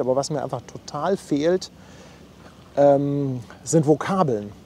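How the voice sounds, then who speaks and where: Male livestream host, chatty and relaxed, reads chat aloud, soft mellow tone, faint harbor noise behind. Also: fairly high pitch, vocal fry. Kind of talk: casual live commentary. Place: outdoors at a harbor.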